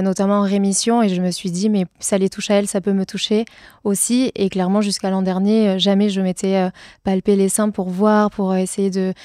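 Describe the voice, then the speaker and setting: Female guest, mellow timbre, flat intonation, casual and a little hesitant. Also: mid-range voice, dry recording, studio quality. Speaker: podcast guest, physiotherapist and pilates teacher. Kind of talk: casual conversation.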